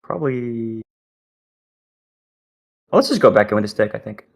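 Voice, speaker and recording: A young man streaming a game live, reacting excitably and swearing as he plays. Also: fairly low pitch, moderate pace, vocal fry. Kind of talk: live gaming commentary.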